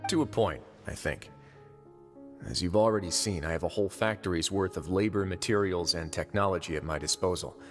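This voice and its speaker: A man playing a shrewd, guarded fortress administrator in a video game, speaking in a measured, understated way. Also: low-pitched, natural voice. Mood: reflective. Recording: long reverb, music behind.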